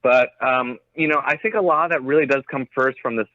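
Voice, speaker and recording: A man's voice: mid-range voice, fairly quick, sharp tone, dry recording. A man giving analysis as an interview guest, tentative and often restarting as he thinks aloud. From United States. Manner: tentative, hedging, thinking aloud with repeated starts. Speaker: interview guest, journalist and author. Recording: muffled, thin phone-like line.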